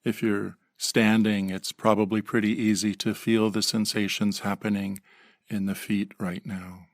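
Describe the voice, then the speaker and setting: Male meditation guide, lowish pitch with vocal fry, gentle delivery with pauses. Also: little pitch movement, no echo, studio quality. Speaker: meditation teacher.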